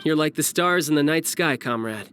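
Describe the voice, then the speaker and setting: Breezy, higher-voiced young schemer played by a man. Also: moderate pace, a bit bright, a little hiss or hum, lightly acted. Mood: wistful. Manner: smooth-talking, nonchalant, playful. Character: breezy, cunning young male fighter and schemer. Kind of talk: video game cutscene voice acting.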